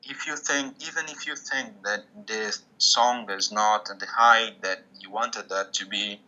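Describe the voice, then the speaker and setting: Casting: bright, sharp-toned male musician, Portuguese first language, careful halting English, dull lo-fi video call sound. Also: on the low side, steady tone. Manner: hesitant, restarts often, searching for English words. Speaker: musician guest. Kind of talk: interview conversation.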